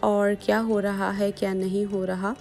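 A woman's voice: medium pitch, level tone, measured pace, gravelly, darkish tone, faint music behind. A young woman, narrating a home vlog in a casual, chatty style. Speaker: vlogger.

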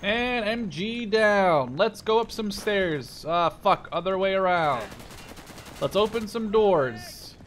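A man in his twenties giving casual, rambling, sweary gameplay commentary in an excitable way. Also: sits high, slow pace, noisy background. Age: 20s. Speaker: gamer, video host. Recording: loud game gunfire behind the voice. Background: gunfire and machine guns from game.

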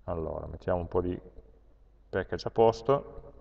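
Low-voiced Italian man lecturing in a hall. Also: creaky. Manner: thinks aloud while typing code, many pauses and fillers. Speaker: university lecturer. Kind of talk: live-coding programming lesson. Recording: dull lo-fi lecture capture with long hall reverb.

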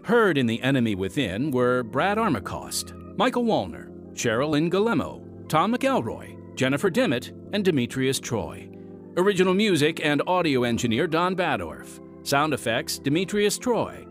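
A man's voice: fairly high pitch, sing-song, normal speed, soft background music. A man working as a radio announcer and narrator, reading a script in an earnest, emphatic, rhetorical manner.

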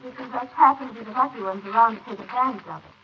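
Lady acting as a brazen scheming bride in a 40s radio play, highish voice, lots of noise. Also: rapid-fire, close to an everyday voice. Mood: smug, scheming. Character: brazen, scheming, impatient bride plotting for an inheritance. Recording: noisy muffled 1948 wire recording of transcription discs.

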